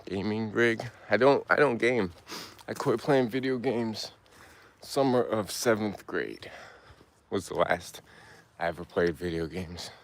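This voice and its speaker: Slow, low-voiced young man streaming outdoors. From Turkey. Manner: laid-back, answers chat aloud, halting restarts. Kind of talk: casual live-stream chat while walking in woods. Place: outdoors in woods.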